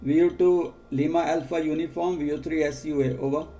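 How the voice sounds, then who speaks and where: An Indian-accented man working as an amateur radio operator, measured and repeating key details in a procedural exchange. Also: on the high side, steady tone.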